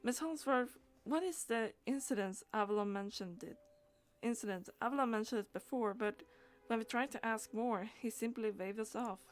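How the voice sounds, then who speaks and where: Woman game streamer, halting delivery. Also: a little high. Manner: halting reading with stumbles and repeats. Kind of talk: reading game dialogue aloud and chatting.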